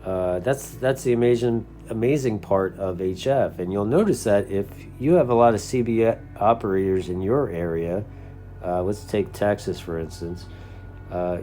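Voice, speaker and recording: An American man with a low, very mellow voice speaks in an unscripted, conversational style. He comes across as an earnest hobbyist explaining things to his audience. Soft music plays faintly behind him. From United States. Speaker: CB radio hobbyist and video host. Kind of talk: casual unscripted talk.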